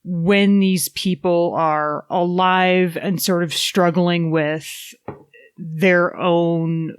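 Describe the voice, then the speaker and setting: A woman's voice: lowish voice, steady tone, very slow, clean tone, no echo, clean studio sound. A woman panelist on a podcast, chatting casually and reflectively, thinking aloud with some hesitation. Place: in-person recording around a table.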